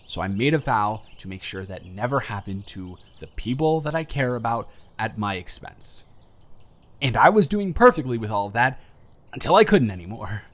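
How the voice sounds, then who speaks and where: Man acting an earnest, low-pitched anxious young lover. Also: big pitch swings. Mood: earnest, reflective, then hurt, agitated. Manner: hesitant, halting, earnest, softly vulnerable. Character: earnest, anxious young man confessing love to his close friend. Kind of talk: emotional roleplay audio acting, intimate monologue to the listener.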